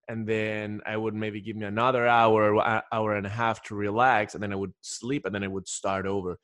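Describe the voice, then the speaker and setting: A man's voice: on the low side, fairly bright tone, no echo, studio quality. A man from Ecuador talking casually as a podcast guest, telling stories in a rambling way with repeated restarts. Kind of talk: casual conversational storytelling.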